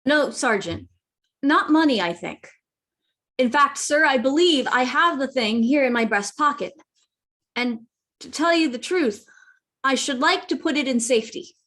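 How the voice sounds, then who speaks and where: Radio drama lad: actress as earnest, well-mannered boy, high-pitched and careful. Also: lively intonation, a little slow, a bit bright. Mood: earnest, polite. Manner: respectful, careful. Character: polite, earnest young boy. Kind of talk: radio play reading.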